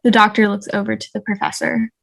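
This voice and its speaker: Woman reading script directions on a video call, pretty even tone, medium pitch. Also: fast talker, gravelly. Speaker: narrator. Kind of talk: reading stage directions at a table read. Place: online video call table read.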